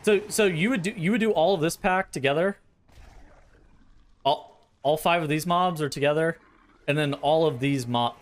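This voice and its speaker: A man giving casual, blunt gameplay commentary with swearing, thinking aloud as he explains strategy, his voice a little tired. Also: mid-range voice. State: voice tiring, sore throat. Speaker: streamer.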